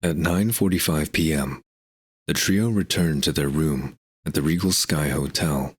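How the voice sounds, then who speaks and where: A man's voice: a bass voice, a little slow. A man reading scripted narration for an audio drama. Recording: clear background ambience noise.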